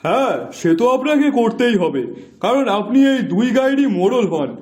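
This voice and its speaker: Man acting high-voiced, level-headed villager. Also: lively intonation, fairly quick, darkish tone. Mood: reasoning, insistent. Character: level-headed, insistent male villager reasoning with elders. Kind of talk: Bengali animated folk-tale dialogue.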